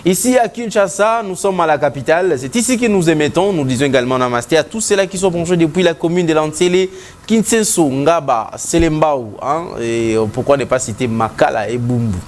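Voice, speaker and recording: A man with a Congolese French accent hosting a casual morning TV show, greeting viewers in a jovial, drawn-out way. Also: fairly high pitch, a little hiss or hum.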